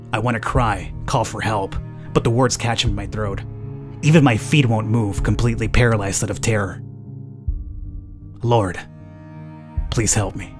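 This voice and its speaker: A man hosting a let's play, reading game narration dramatically and adding informal commentary. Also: lowish voice. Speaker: gaming YouTuber, let's play host. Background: game music.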